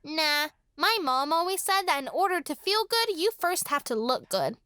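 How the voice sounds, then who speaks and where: A woman's voice: high-pitched, sing-song, normal speed, sharp tone, no room sound, clean studio sound, exaggerated voice. A woman playing a spoiled, vain little girl in a radio drama, whiny, wheedling and bratty. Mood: smug, haughty.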